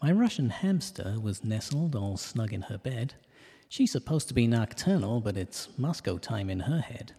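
A man narrating scripted comic rhyming verse in a measured, deliberate storytelling delivery. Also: medium pitch, big pitch swings, vocal fry, warm tone, husky. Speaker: narrator of a comic detective podcast. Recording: long reverberant hall echo.

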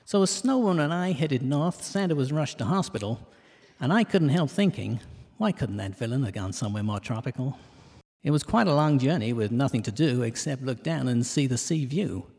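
Male narrator, mid-pitched, measured comic rhyming storytelling, recorded with long reverb. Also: animated, vocal fry, a little mellow, breathy edge. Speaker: narrator of a comic detective podcast. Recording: long reverberant hall echo.

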